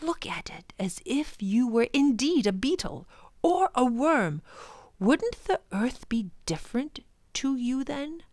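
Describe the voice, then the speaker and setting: A woman voicing an earnest, enthusiastic male professor in a children's storybook, urging listeners on with dramatic emphasis and hushed words. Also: high-pitched, very animated, takes it slowly, dry recording, clean studio sound, voice pushed up, exaggerated voice. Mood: enthusiastic, wondering. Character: older male professor, passionate, earnest, wonder-filled teacher. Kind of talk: children's storybook read-aloud.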